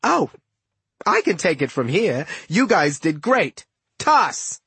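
A man's voice: fairly high pitch, big pitch swings, slow, creaky, bright, forceful tone, husky, no echo, full character voice. A man voicing a smug villain magician in an audiobook, glib, chatty and condescending with mock friendliness. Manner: glib, condescending, casual wise-guy patter. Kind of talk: audiobook character dialogue.

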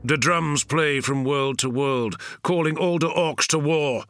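Forceful ork war prophet. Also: male voice, a little high, a little slow, a little hiss or hum. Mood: portentous, zealous. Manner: solemn, portentous, proclaiming. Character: zealous male ork war prophet storyteller. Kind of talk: dramatic scripted prologue narration.

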